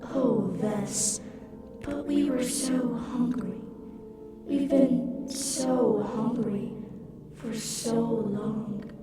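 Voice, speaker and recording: Woman as hungry dying creature, slow, mournful, plaintive, heavily echoing, distant, audio drama. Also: on the high side, fairly even intonation. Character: starving alien creature, weary and mournful, in a dream. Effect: heavy dreamlike echo. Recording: very echoey, distant, under loud music.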